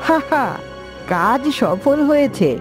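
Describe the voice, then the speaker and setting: A cold, plotting royal minister from a fairy-tale cartoon , acted by a woman. The voice is fairly high and widely varied in pitch, with an unhurried, conspiratorial delivery. Music plays clearly behind it. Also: expressive. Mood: gloating, cold.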